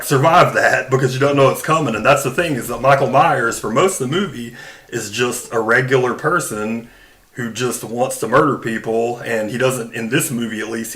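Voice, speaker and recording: A man's voice: mid-range voice, moderate pace, a bit bright. A man hosting a podcast, talking casually and emphatically in an informal, conversational way. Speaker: podcast host. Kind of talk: casual film discussion.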